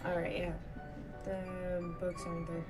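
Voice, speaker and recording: A woman's voice: lowish voice, monotone, a little slow. A woman chatting casually as she live-streams a video game, reacting and talking to viewers. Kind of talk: casual live gaming commentary and reading aloud. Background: game music.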